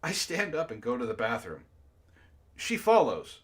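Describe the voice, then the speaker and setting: A man's voice: medium pitch, huge pitch swings, moderate pace. A man hosting a read-aloud, narrating casually and breaking off for sardonic, profane asides. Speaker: book club host reading a story aloud with commentary.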